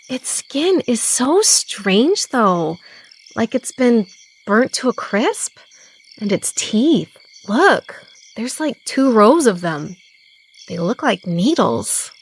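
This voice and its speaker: A woman's voice: on the high side, big pitch swings, slow pace, pauses often, breathy edge, full character voice. A woman playing a practical, level-headed small-town sheriff in an audio drama, thoughtful and matter-of-fact. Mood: uneasy, decisive.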